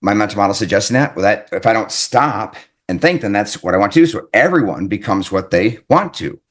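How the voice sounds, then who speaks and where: Earnest male author, slightly low voice, reflective talk that circles back on its points. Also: expressive, fairly quick. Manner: earnest, repeats points, tags lines with "right?". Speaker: author and life coach. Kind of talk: reflective self-help talk.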